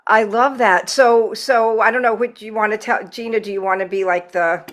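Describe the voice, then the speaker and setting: A woman with a bright, forward voice at an average pitch. She hosts a casual interview podcast over a video call, speaking with gushing enthusiasm. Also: fairly even intonation, moderate pace. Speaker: podcast host.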